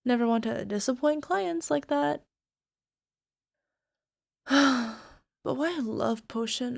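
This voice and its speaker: A woman's voice: sits high, a little mellow. A woman playing a bubbly young alchemist who turns obsessively lovestruck, in a hesitant, giggly ASMR roleplay manner that slips into whispers. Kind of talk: ASMR girlfriend roleplay audio drama.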